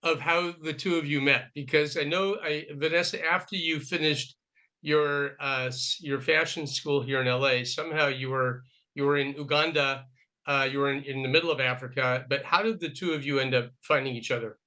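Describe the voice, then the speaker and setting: A man's voice: a little high, steady tone, normal speed, pushed and bright. A man hosting a podcast, asking rambling questions full of restarts. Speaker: podcast host. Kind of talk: casual interview questions.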